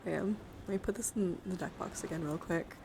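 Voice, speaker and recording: A woman hosting a casual ASMR livestream, chatting playfully and coaxingly and often slipping into breathy whispers. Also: lowish voice, normal speed. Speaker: livestream host. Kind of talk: casual chat and ASMR handcam stream. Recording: clearly audible background noise.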